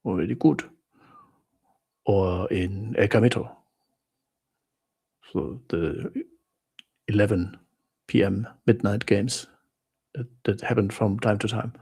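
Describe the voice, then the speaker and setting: Man, German accent, live stream host, gravelly, husky, unhurried, halting. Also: on the low side, steady tone, a little mellow. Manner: rambling, halting, frequent restarts and fillers. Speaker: livestream host answering viewer questions. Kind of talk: casual live Q&A chat.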